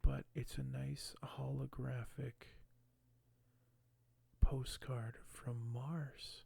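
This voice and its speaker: Hushed ASMR man, gravelly and slow. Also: mid-range voice, level tone. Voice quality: hushed, soft, often whispered. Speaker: ASMR video creator. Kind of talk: ASMR unboxing and reading aloud. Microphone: close microphone.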